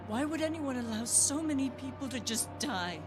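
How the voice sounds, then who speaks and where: A woman's voice: high-pitched, lively intonation, normal speed. A woman playing an alarmed questioner in an audio drama about doomsday. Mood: troubled. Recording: loud music under the voice.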